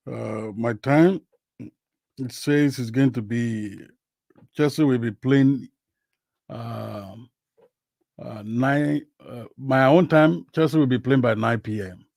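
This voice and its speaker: Nigerian male streamer, slow-paced, hesitant, chatting about football, dry room. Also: medium pitch, vocal fry, darkish tone, no room sound. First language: Yoruba. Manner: slow, hesitant, many fillers. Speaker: livestream host. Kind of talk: casual football talk livestream. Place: home, in Western Australia.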